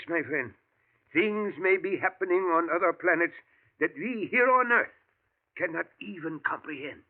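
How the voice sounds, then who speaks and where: An actor playing an elderly male professor, a thoughtful scientist who talks in a measured way and chuckles often, in a 1940s radio drama. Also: high voice, heavily acted. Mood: musing. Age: older. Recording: tinny lo-fi old radio broadcast.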